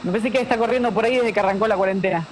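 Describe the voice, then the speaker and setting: A woman's voice: mid-range voice, very fast, a little mellow, faint music behind, close to an everyday voice, low-quality recording. A woman playing a jittery, fatalistic radio sidekick with a Buenos Aires accent, blunt and slangy in a radio drama. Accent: Buenos Aires Argentine.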